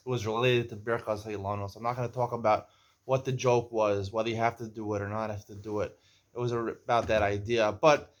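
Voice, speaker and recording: A man in his forties hosting a casual show, chatty and teasing as he tells stories and jokes with a guest. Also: mid-range voice. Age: 40s. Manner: jokey, teasing, chatty storyteller. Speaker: host. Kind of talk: casual chat and storytelling. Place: Israel.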